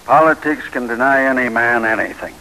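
Bright, stern physician, radio drama. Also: male voice, medium pitch, fairly quick, rough recording. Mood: skeptical. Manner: admonishing, blunt. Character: gloomy, stern, concerned male family physician. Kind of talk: 1940s radio drama acting.

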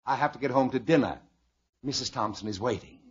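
An old, dull-sounding radio comedy recording of an absent-minded elderly grandfather. His voice is husky and mid-pitched, mild and plain-spoken, with a vague, blunt manner. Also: fairly quick. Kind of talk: 1940s-50s radio comedy acting.

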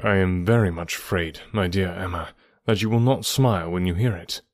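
A grave, determined gentleman of a period novel, as a male reader plays him. His voice is fairly low, with formal, measured phrasing and gentle teasing. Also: no room sound, studio quality. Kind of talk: audiobook character dialogue.

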